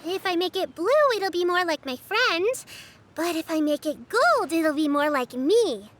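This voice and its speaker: A woman's voice: very high-pitched, lively intonation, a little slow, exaggerated voice. A woman voicing a small, cheerful young girl in a fantasy video game, bubbly and childlike, full of exclamations. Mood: curious, bright. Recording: game audio under loud background music.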